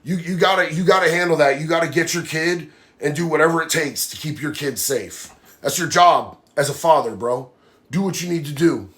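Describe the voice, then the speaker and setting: A man's voice: a little high, moderate pace, fairly bright tone. A man hosting a live stream, nasal from a sinus infection, talking bluntly and heatedly while reading viewer comments. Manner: blunt, heated, says bro a lot. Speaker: livestream host.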